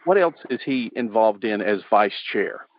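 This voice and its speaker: A man's voice: lowish voice, expressive, moderate pace, dry recording. A man hosting a church radio interview, asking questions in a plain conversational way. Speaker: radio host. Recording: dull lo-fi sound.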